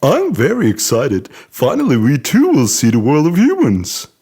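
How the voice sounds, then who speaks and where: An excited, kindly elf from a children's cartoon storybook, acted by a man, bouncing with very animated swoops. The voice is husky and a bit high, eager throughout. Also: moderate pace, exaggerated voice. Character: eager young male elf, excited and kind.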